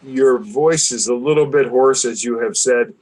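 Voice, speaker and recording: Male webinar host, formal and precise, lectures over a call, mid-pitched. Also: moderate pace, a little mellow, rough recording. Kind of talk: measured discussion on a video call. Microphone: video call.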